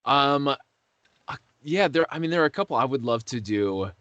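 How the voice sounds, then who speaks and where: Guy being interviewed about his acting work, medium pitch, kinda rambly and searching for words, really dry audio. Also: animated, moderate pace, fairly bright tone, clean tone, no room sound, lo-fi sound. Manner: rambling, self-deprecating, hesitant while searching for words. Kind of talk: casual interview chat.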